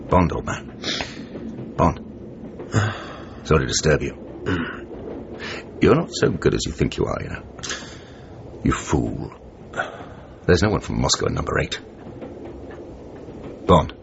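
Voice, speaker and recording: An actor playing a smug Soviet assassin who poses as a chummy English gentleman, taunting in clipped, mock-genteel slang in a radio drama. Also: rumbling low, big pitch swings, slow pace, gravelly, pauses often, heavily acted. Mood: smugly taunting. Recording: noisy, muffled radio broadcast recording.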